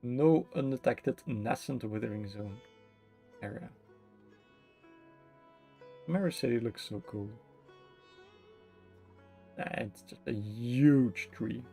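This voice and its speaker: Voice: mid-range voice, takes it slowly, gravelly, pauses often, warm tone, faint music behind. A game streamer giving casual live commentary, mumbling in a playful, slangy way.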